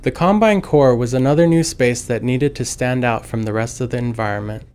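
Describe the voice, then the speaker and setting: Smooth, clear-voiced man narrating. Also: mid-range voice, a little fast, a little mellow. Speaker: game developer. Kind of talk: developer commentary narration.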